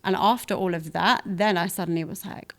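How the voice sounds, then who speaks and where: Woman in her thirties, candid podcast guest, slightly low voice, reflective, chatty. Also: steady tone, brisk, a bit bright. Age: 30s. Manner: reflective, chatty, laughs often. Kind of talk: candid conversational interview.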